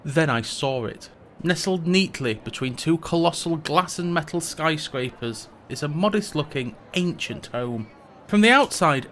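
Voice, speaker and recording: A man's voice: on the high side, fairly bright tone, a little hiss or hum. A man playing a reluctant, sardonic male mage who hosts a tourism show, delivering scripted comic narration with dry, sarcastic asides. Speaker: podcast host.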